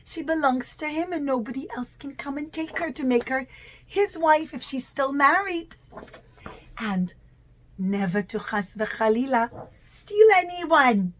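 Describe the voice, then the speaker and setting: A woman telling a religious lesson to young children as a storyteller, in simple, expressive language with stretched-out key words. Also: sits high, unhurried, low-quality recording.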